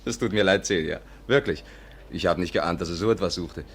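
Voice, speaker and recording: An actor playing a cheeky, persuasive private detective in a 1950s German radio play, breezy and cajoling. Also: male voice, sits low, brisk, a little hiss or hum. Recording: 1950s radio recording, music behind.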